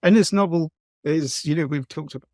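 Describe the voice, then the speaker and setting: Hesitant English man, slightly high voice. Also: fairly quick, a little mellow, no echo, studio quality. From east of England. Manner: thoughtful, hesitant with many ums and restarts. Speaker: guest novelist. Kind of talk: conversational interview.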